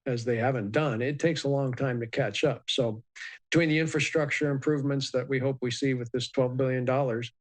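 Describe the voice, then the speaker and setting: A man giving a measured, explanatory expert commentary in a live news interview, with many ums and uhs. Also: medium pitch, fairly even intonation. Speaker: aviation expert guest.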